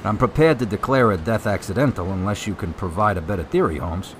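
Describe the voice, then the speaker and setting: A man's voice: on the low side, quick pace, a little hiss or hum, natural voice. A man acting in a radio drama as a skeptical, plain-spoken police officer with a casual, folksy manner. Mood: skeptical, conceding.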